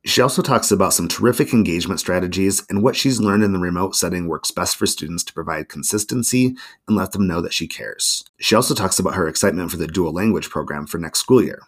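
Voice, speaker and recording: A man hosting a school community interview podcast as an assistant principal, with a measured, upbeat delivery. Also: deep voice, slightly rough. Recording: music bed under the voice. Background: background music.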